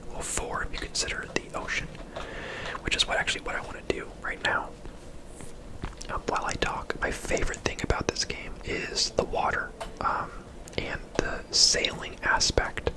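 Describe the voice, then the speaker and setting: A man giving casual, unhurried ASMR game commentary in a conversational whisper as he plays. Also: rough recording. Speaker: ASMR gaming host.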